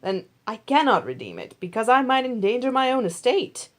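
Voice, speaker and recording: A woman's voice: on the high side, sing-song, moderate pace, pitched above the performer's own voice. A woman voicing a cautious, self-interested male relative in a Bible storybook, speaking bluntly. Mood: reluctant.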